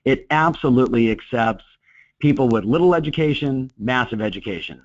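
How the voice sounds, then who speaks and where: A middle-aged man coaching real estate agents in a webinar, talking in an energetic, motivational way with lots of "you know" fillers. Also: medium pitch, brisk, no echo. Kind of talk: webinar talk. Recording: dull, dry lo-fi webinar audio.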